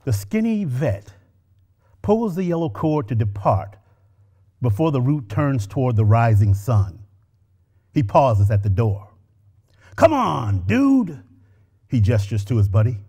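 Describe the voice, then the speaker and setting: Middle-aged male actor, on-stage story reading at a lectern, measured and expressive. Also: medium pitch. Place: stage with orange curtains. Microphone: lectern microphone.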